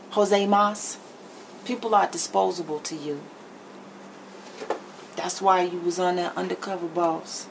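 A woman's voice: lowish voice, vocal fry, rough recording. A middle-aged woman chatting candidly and emphatically to camera as she vlogs from her home kitchen, in a blunt way. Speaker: vlogger. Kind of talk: candid chit-chat to camera while cooking. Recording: noticeable background noise in a kitchen.

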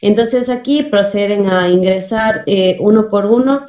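Mellow young Ecuadorian woman, roomy sound. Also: medium pitch, unhurried. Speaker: interview guest. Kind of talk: explanatory interview answers. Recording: roomy, echoing video call.

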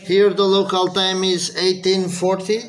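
A man's voice: sits high, completely flat delivery, unhurried. A man with a Portuguese accent, speaking English as a second language, making a radio contact and spelling things out phonetically. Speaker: amateur radio operator.

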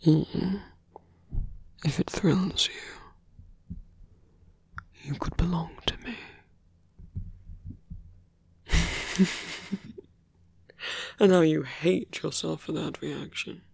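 Man acting a husky, whispering seductive villain. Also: fairly high pitch, steady tone, heavily acted. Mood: tender, possessive. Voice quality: breathy, husky. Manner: slow, coaxing, lingering pauses. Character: seductive, brooding male fantasy villain wooing a hero. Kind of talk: intimate ASMR roleplay, close whispering.

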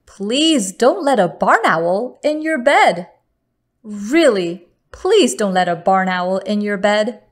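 A woman storyteller reading a picture book aloud to children in a deliberate, expressive manner. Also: medium pitch.

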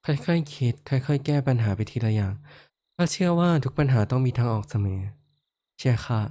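A man voicing a gentle horned giant lord. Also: medium pitch, lively intonation, a little fast, natural voice. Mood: gentle, comforting. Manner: soft-spoken, comforting, playfully teasing. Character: kindly male giant lord with horns, gentle and teasing. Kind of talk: listener-addressed roleplay audio drama.

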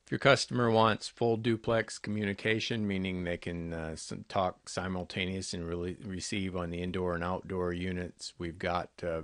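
A man with a very low voice talks through products like a company representative. His delivery is conversational and halting, with a gravelly creak. The sound is close and dry, as in a screen-recorded walkthrough. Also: fairly bright tone, no room sound. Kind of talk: informal narrated product walkthrough.

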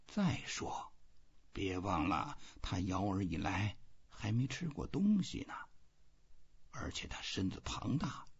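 A man voicing a stoic, reflective old fisherman in a storybook, husky and breathy, musing slowly to himself. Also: low voice, sing-song, dull audio, full character voice. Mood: quiet musing.

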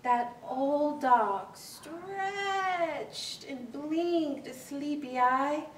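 Woman doing storytime read aloud, highish voice, slow and rhythmic, roomy sound far from the mic. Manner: animated, deliberate, rhythmic delivery of rhyming verse. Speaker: librarian reading a picture book aloud. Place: small library children's corner, indoors. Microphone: camera a few metres away. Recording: echoey room, distant mic, faint hiss.

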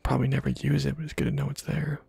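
A man giving casual, halting ASMR game commentary in a soft, breathy, whispery voice, murmuring as if to himself. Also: medium pitch, flat delivery. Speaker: gaming video host.

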